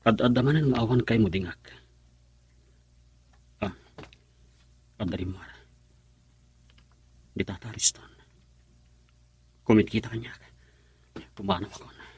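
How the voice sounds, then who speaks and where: A man playing a weary, reproachful elderly father in a Filipino radio drama, with a raspy voice and an emotional delivery. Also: on the low side, unhurried, long pauses, a little mellow. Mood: sorrowful, brooding. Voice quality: husky, raspy.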